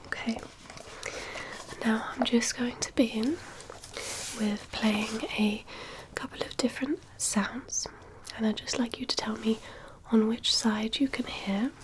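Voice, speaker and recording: Slow, whispering ASMR woman as doctor. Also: on the high side, fairly even intonation, background noise. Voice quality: soft, breathy whisper. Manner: gentle, unhurried, reassuring, instructive. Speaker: ASMR performer role-playing a doctor giving a hearing test. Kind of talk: whispered ASMR medical exam roleplay.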